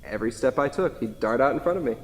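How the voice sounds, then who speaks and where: A man acts out a grieving widower in a staged play reading. He is wistful and gently rueful, with a mid-pitched male voice. The sound rings with long reverb in a hall. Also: lively intonation, fast talker, slight background noise, natural voice.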